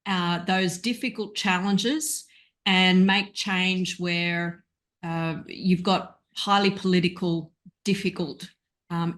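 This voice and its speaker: A woman's voice: lowish voice, fairly bright tone. Enthusiastic woman introducing herself. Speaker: course lead. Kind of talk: video call introduction. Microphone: video call.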